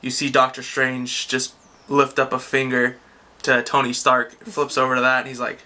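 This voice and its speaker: Very low, bright-voiced woman. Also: level tone, moderate pace. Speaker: co-host of a film review. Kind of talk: casual two-person chat review.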